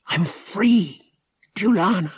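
A man voicing a determined, grieving young space pilot in a breathy, hushed, emotional way for an audiobook. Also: high voice, takes it slowly, no echo, clean studio sound, voice pushed up, exaggerated voice. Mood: hushed, tearful resolve.

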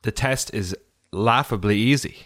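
A man's voice: on the low side, measured pace, fairly bright tone. A man, co-hosting a podcast in casual chat, joking and laughing often. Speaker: podcast co-host.

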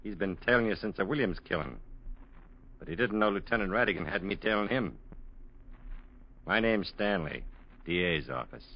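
A man's voice: low-pitched, lively intonation, normal speed, pauses often. An actor playing a tough, cool male lawman, laconic and slangy, in 1940s radio drama style. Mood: cool, amused. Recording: muffled old radio recording.

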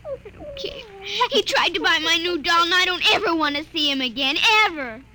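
An actress playing an excitable, moody young girl in an old radio drama, childlike and pleading. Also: very high voice, normal speed. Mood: sulky. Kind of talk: 1950s radio drama acting. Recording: noisy old radio recording.

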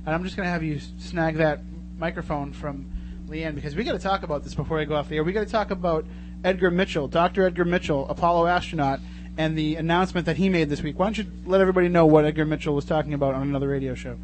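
Male radio host, slightly high voice, chatty and jokey, noisy live broadcast with hum. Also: quick pace. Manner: joking, bantering. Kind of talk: casual live radio talk. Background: clear noise and hum.

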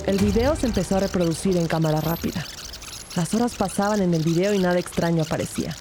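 Woman acting serious lady cop narrator, lowish voice, crime audio drama, loud music behind. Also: close to an everyday voice. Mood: grave, narrating. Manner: measured, serious. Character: female police investigator narrating her case, serious, observant. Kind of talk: scripted first-person crime narration.

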